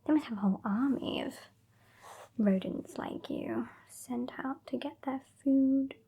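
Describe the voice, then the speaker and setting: A British woman playing a sassy, bossy centuries-old female vampire in an ASMR roleplay, soft and whispery, with a haughty, teasing, dry delivery. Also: sits high, unhurried, creaky, breathy edge, lo-fi sound, heavily acted. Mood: haughty, scheming.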